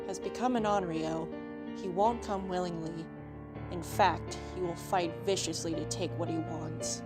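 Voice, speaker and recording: Woman playing a studious teammate under loud music. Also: lowish voice, close to an everyday voice. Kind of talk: audio drama acting.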